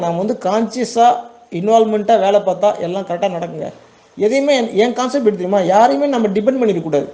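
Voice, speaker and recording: A man's voice: high-pitched, dark tone, highs cut off. A man with a Tamil Nadu accent giving an informal tutorial in a reassuring, plain-spoken way, often checking in with his listeners. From Tamil Nadu, India. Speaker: export business owner giving a tutorial.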